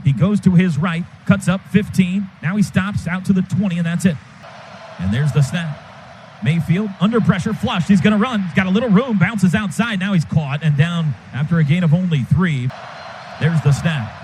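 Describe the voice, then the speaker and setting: Radio play-by-play man, high voice, rapid clipped calls, noisy stadium broadcast sound. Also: a little mellow. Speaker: play-by-play announcer.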